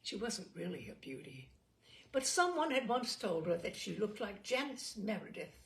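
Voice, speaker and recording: Female story reader: animated intonation, unhurried deliberate phrasing, creaky edge, literary narration. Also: medium pitch.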